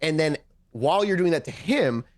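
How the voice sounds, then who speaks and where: Higher-voiced man running a tabletop game. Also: expressive, moderate pace, dry recording, studio quality. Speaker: game master. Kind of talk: casual tabletop game narration.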